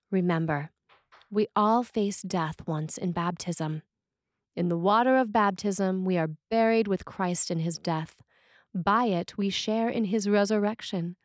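A woman reading a scripted sermon in a measured, deliberate way. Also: medium pitch, dry recording, studio quality. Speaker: sermon reader.

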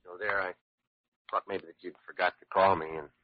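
A man plays an awkward, softhearted police lieutenant in an old radio play. His voice is very low, and he speaks haltingly and apologetically. The recording is dull and dry. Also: fairly even intonation, no echo, lightly acted. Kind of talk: old-time radio drama acting. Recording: muffled vintage radio recording.

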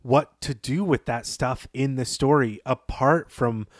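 A man co-hosting a podcast, talking through a story in a thoughtful, analytical way with frequent pauses. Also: mid-range voice, no room sound, clean studio sound. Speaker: podcast co-host. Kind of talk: literary discussion podcast.

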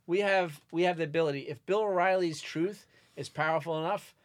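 Man, fairly high voice, talk panelist, casual debate, reasoning contrarian, restates points, dry sound. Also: fairly quick, no room sound. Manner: reasoning, playing devil's advocate, restates points.

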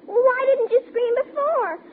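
A woman's voice: extremely high pitch, a little slow, crowd noise. An actress playing an earnest, insistent young girl in a 1950s radio drama, pleading with breathless urgency to be believed. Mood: desperate. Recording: noisy, tinny 1950s radio recording.

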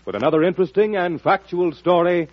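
An American man announcing a 1940s radio promo in a punchy, dramatic, husky voice. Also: high voice, fast, dry recording. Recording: muffled old radio recording, dry.

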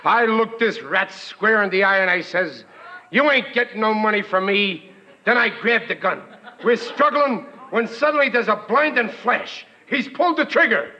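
A man's voice: sits high, moderate pace, sharp tone. An actor playing a blustering, boastful working-class family man in 1940s radio comedy, stammering when flustered. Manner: bragging, ungrammatical, comically exasperated. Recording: dull, noisy old radio recording.

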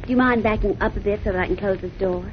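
A woman's voice: mid-range voice, racing, lightly acted. An actress playing a cool, teasing female star reporter in 1940s radio style. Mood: coy, teasing. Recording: noisy, dull old radio recording.

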